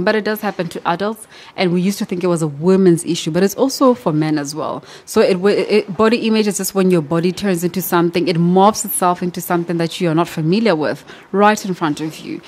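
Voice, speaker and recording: A woman's voice: on the low side, a little mellow. Young woman, emphatic studio interview guest. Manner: explains with everyday examples, emphatic. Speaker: nutrition clinic founder, guest. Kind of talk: interview answers. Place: podcast studio. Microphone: studio mic on boom arm.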